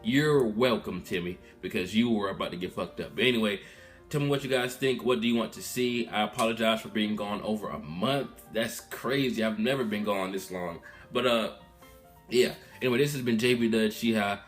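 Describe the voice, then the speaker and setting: A man's voice: fairly low pitch, moderate pace, faint music behind. A man in his twenties talking casually to his viewers in a candid, rambling vlog update, recorded in a room at his mother's house. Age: 20s. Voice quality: loud voice. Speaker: vlogger.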